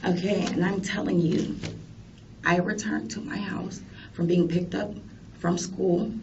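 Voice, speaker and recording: A woman's voice: lowish voice, steady tone, measured pace, a little mellow. An American woman answering police questions as a suspect, defensive and firm in her denials. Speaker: suspect being questioned, a mother. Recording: distant, muffled, noisy interview room recording.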